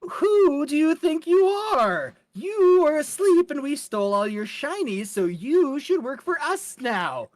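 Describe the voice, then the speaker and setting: A man's voice: very high voice, very animated, a little slow, hardly any pauses, dry recording, voice pushed up, heavily acted. A man voicing an indignant, bossy little goblin in a tabletop game. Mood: indignant, demanding.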